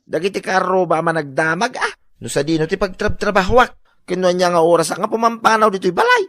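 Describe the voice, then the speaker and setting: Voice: medium pitch, a little fast, a bit bright, no room sound, studio quality, natural voice. An actor playing an exasperated, grumbling worker fed up with nosy neighbours in an Ilocano comedy radio drama. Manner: grumbling, exclaiming.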